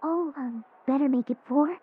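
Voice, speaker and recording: Gentle, caring cartoon pegasus mare played by a woman, very high, tinny phone-like sound. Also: moderate pace, natural voice. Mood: concerned, hesitant. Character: gentle, caring female pegasus pony wrestler. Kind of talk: scripted fan audio drama acting. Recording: thin phone-like band.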